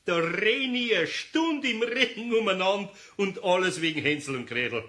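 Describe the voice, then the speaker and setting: A good-natured older Bavarian craftsman in a children's radio play. His voice is bright and fairly high, swinging widely in pitch, full of folksy interjections and easy amusement. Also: moderate pace. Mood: patient, amused. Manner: folksy, animated, chuckling speech with interjections.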